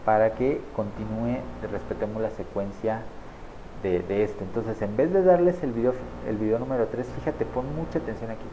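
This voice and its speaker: A man in his thirties casually walking viewers through a software setup on screen, checking in with them often as he clicks along. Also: on the low side, normal speed, a little hiss or hum, poor audio. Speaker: online marketing tutor. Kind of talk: screen-share software tutorial. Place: small indoor room at a desk.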